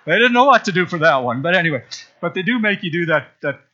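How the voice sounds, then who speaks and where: A man from Zambia preaches to his congregation in a relaxed, storytelling way, full of asides. His voice is bright and a little high. The recording sounds muffled and lo-fi. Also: fast talker, slight background noise. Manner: chatty, storytelling, with frequent asides. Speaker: pastor. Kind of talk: sermon. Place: church sanctuary.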